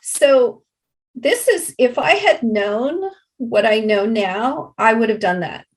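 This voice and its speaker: A woman explaining things in an interview as a project representative, in a deliberate and measured manner. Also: on the high side.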